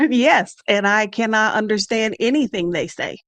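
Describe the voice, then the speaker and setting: A woman hosting an interview and asking calm, measured questions. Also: medium pitch. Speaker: host.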